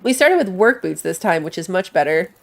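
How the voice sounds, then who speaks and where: A woman's voice: lowish voice, big pitch swings, a little fast, creaky. A woman giving casual live commentary as she plays a game, chatting and thinking aloud. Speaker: game streamer.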